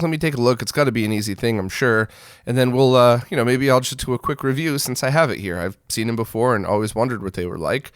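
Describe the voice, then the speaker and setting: A man with a mid-pitched voice hosts a gaming news roundup. He talks casually and conversationally, offering his own opinions as he goes. The sound is dry, with very little room in it. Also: dry recording.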